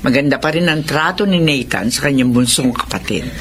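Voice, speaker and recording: A woman's voice: extremely low pitch, lively intonation, brisk. A woman playing a stern grandmother who lectures and reproaches her family in a radio drama. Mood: firm. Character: stern, scolding grandmother, protective of eldest grandson. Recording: faint background noise.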